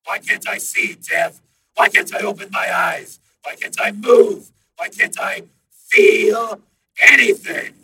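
A man voicing the synthesized voice of a male cop's mind trapped in a computer, gravelly and strained, firing insistent accusing questions. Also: sits high, fairly even intonation, measured pace, background noise, pitched above the performer's own voice, exaggerated voice. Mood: demanding.

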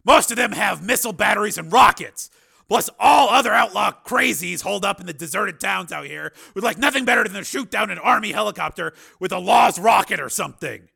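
A male narrator plays an agitated action hero in an audiobook thriller. The voice is pushed high and loud, with a hard gravelly edge. Delivery is strained, emphatic and forceful. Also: expressive, fairly quick, heavily acted. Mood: tense.

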